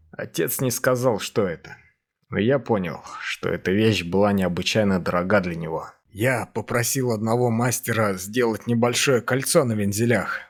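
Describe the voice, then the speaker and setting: A man as a grave, low-voiced officer recounting memories. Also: close to an everyday voice. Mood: grave, reflective. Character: male English officer recounting his past in a diary. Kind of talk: first-person dramatic monologue, radio play.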